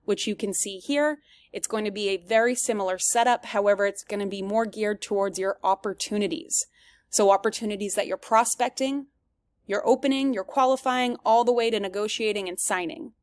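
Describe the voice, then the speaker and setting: A woman presents a product walkthrough in a medium-pitched voice. She speaks directly to viewers and explains things step by step, in the manner of a semi-scripted demo. The recording is dry, with very little room sound. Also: no room sound. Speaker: presenter giving a software demo.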